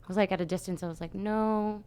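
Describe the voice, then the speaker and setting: A young adult woman talking as a radio guest, soft-spoken and trailing off as she tells a story. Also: mid-range voice, steady tone, fast talker, clean tone. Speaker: studio guest. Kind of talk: casual chat.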